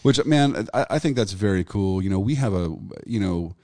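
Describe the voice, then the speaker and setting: Low-voiced host, 40s, loose and profane; dry close sound. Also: male voice, lively intonation, normal speed, a little mellow, no room sound. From Texas. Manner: laid-back, profane, storytelling. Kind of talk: casual podcast conversation.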